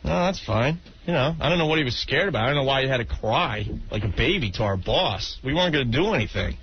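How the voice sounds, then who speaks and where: Male talk radio host, aggrieved banter, medium pitch, faint tape noise. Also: animated, fast talker. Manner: mocking, aggrieved. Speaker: radio show host taking calls. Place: radio studio. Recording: dull, noisy old radio tape.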